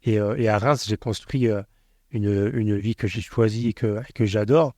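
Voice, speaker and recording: A man's voice: lowish voice, steady tone, dark tone, dry recording, clean studio sound. A man from the Paris region hosting a casual podcast, chatty and opinionated, often jumping in. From Paris region, France. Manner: chatty, opinionated, quick to interject. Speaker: podcast host.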